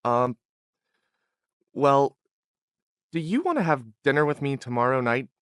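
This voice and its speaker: A male voice actor plays a casual, friendly young man in an English textbook dialogue. His intonation is lively and the recording is very dry. The lines are scripted. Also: mid-range voice, unhurried, clear, resonant tone, no echo.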